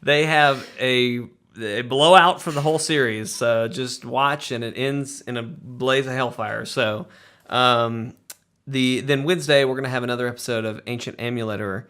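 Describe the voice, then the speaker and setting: A man's voice: mid-range voice, normal speed, fairly bright tone, clean tone. A man hosting a casual podcast with a jokey, playful way of talking. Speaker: podcast host.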